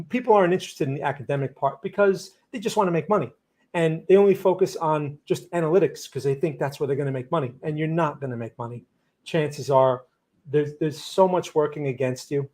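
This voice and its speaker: A man's voice: fairly high pitch, fairly quick, darkish tone. Man with a New Jersey accent and a slightly raised voice, live-streaming chart analysis, opinionated and loose. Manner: blunt, opinionated, rambling with restarts. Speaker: trading livestream host. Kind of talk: live market analysis, answering chat.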